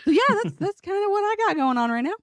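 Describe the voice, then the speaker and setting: A woman in her thirties, sick, with a low voice often taken for a man's, chatting casually on a livestream. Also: animated, very fast, dry recording, clean studio sound. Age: 30s. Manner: chatty, rambling with fillers. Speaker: guest streamer.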